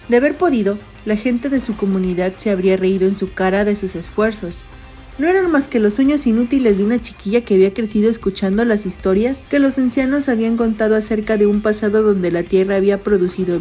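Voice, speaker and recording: Female narrator for a sci-fi audio drama, mid-pitched, slightly muted tone, faint music underneath. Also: mellow. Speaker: narrator of a science fiction audio story. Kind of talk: scripted literary narration.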